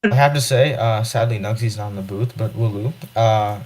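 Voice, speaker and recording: A young man speaking hesitantly and quietly, giving subdued congratulations after a game. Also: lowish voice, normal speed, low-quality recording. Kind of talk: casual congratulations.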